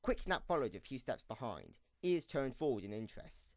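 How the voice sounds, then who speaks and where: A man's voice: medium pitch, big pitch swings, brisk, darkish tone, dry recording. A man reading scripted story narration as the narrator of an audio drama.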